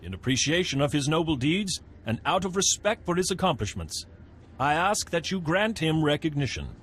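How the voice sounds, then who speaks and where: A man's voice: on the high side, big pitch swings, moderate pace, a bit bright, slight background noise. A man playing a formal herald of a noble court in a video game, declaiming ceremonially.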